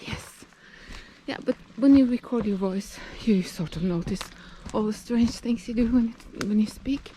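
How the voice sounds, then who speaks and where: A woman's voice: on the high side, normal speed, slight background noise, rough recording. A Norwegian woman with a Norwegian accent and a slight lisp, chatting casually while hiking in a forest, at times dropping to a whisper. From Norway. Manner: chatty, often drops to a soft whisper. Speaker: nature vlogger. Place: outdoors in a forest on a steep hill.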